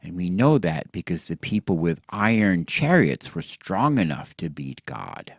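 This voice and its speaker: A man telling a satirical bedtime story as if to children, in a theatrical, mock-earnest way with drawn-out words and hushed drops. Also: deep voice, sing-song, gravelly, slightly rough, dry recording, clean studio sound.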